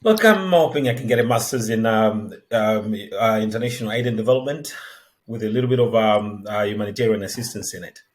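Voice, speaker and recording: A man in his thirties from the Democratic Republic of the Congo, a guest telling his life story in a measured, reflective way. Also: on the low side. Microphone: headset.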